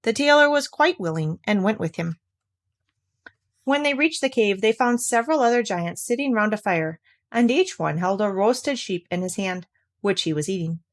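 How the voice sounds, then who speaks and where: A woman storyteller reads a fairy tale aloud in a mid-pitched voice. It is scripted storybook narration. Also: animated, moderate pace.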